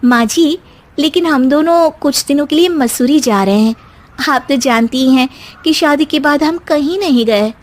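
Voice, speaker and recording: A woman voicing a young newlywed daughter-in-law who is polite, calm and firmly assertive. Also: high voice, normal speed, voice pushed up, close to an everyday voice. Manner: polite but firm.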